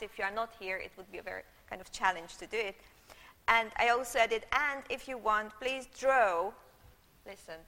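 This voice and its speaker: A woman's voice: on the high side, bright, forceful tone. A middle-aged Hungarian woman and university lecturer, a native Hungarian speaker, giving a live lecture into a room microphone, chatty and checking in with her audience often. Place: lecture hall. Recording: long hall reverb.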